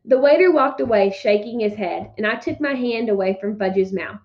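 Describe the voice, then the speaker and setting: A woman teacher giving instructions and reading aloud to pupils with emphatic, deliberate phrasing. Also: mid-range voice, dull audio. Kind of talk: instructional read-aloud, scripted narration.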